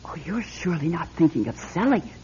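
Older antiques expert, very raspy, low mellow tone, swooping delivery, earnest and ominous, radio drama. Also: female voice, big pitch swings, fast, exaggerated voice. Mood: dismayed, insistent. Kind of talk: classic radio drama acting. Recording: dull, lo-fi old radio recording.

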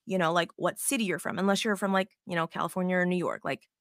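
A woman hosting a casual sports podcast, excitable and swearing freely as she tells animated stories. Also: fairly low pitch, fast, dry recording, studio quality. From Las Vegas, United States. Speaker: podcast host.

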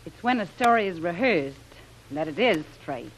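An actress playing a sly, jealous, hard-edged adventuress, sardonic and insinuating, in an old radio serial. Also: female voice, on the low side, expressive, normal speed, slight background noise, close to an everyday voice. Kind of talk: 1930s radio serial acting. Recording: muffled old radio recording.

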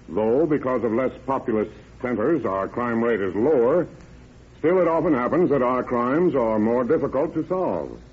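A man speaking as a guest sheriff, reading a formal scripted address in 1930s radio style. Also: mid-range voice. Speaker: county sheriff, guest introducing a case. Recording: dull, husky-sounding old radio recording.

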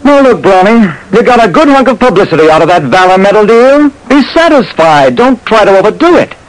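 A man's voice: high voice, sing-song, brisk, pitched above the performer's own voice, low-quality recording. A man voicing a brash, cynical newspaperman in a vintage radio detective drama, with a slangy, hustling delivery. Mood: dismissive, scornful. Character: brash, cynical male newspaperman, slick and dismissive. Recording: old radio recording with faint noise.

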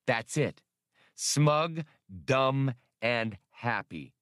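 A man's voice: medium pitch, lively intonation, drawn-out words, a bit bright, dry recording, studio quality. A man voicing a cocky, plain-spoken space smuggler pilot in an audiobook, slangy and brusque. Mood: pleased.

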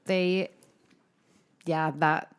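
A woman's voice: on the low side, little pitch movement, extremely slow, clear, resonant tone. A woman co-hosting a podcast, talking in a chatty, informal way and putting questions to a guest. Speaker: podcast co-host. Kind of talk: casual podcast chat.

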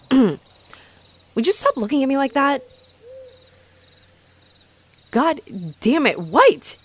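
A woman's voice: high-pitched, very animated, takes it slowly, full character voice. A woman playing a haughty, sarcastic female vampire in a roleplay audio drama, mocking and theatrical as she taunts her rival. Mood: flustered, defensive.

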